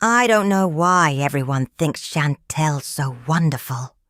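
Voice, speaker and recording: A woman's voice: sits low, lively intonation, a little slow, fairly bright tone, no echo, studio quality. A woman voicing a sulky, jealous young hen in a children's storybook, grumbling and petulant. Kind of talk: storybook character reading.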